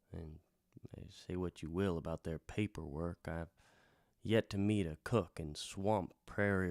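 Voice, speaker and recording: A man playing a weary, gentle, wry wandering bard who tells tales in an unhurried, musing way with trailing pauses, in the style of a radio drama. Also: sits low, vocal fry, dry recording, clean studio sound. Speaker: host and bard of a radio hour. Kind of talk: in-character radio drama storytelling.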